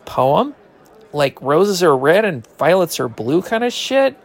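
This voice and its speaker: Animated, higher-pitched eager schoolboy, played by a man. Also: takes it slowly, heavily acted. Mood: bashful, eager. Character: eager, bashful ninth-grade boy, brash best buddy. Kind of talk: audio drama dialogue.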